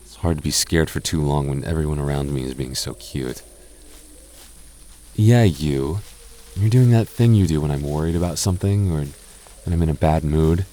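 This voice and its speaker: A young man in a close-mic boyfriend roleplay, talking softly with halting restarts and light jokes. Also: very deep voice. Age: young adult. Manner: soft-spoken, halting, jokey and reassuring; slow measured reading of a rhyme.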